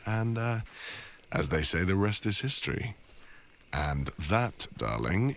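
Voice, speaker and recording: A man with a deep British voice playing a devoted, teasing older boyfriend and father in an intimate ASMR audio roleplay. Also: creaky, a bit bright, a little hiss or hum, exaggerated voice. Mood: amused, teasing.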